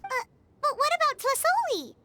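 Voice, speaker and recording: Tiny fairy girl, squeaky, very high, swooping pitch, excitable, cartoonish game companion. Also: normal speed, heavy background noise. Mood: hesitant, concerned. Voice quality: squeaky, childlike. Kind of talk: cartoonish video game voice acting.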